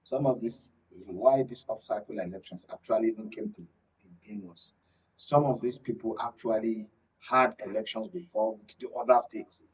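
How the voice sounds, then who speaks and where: A man with a Nigerian accent giving political analysis as a panel guest, haltingly, often repeating and rephrasing. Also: mid-range voice, lively intonation, husky, recorded from a distance, lo-fi sound.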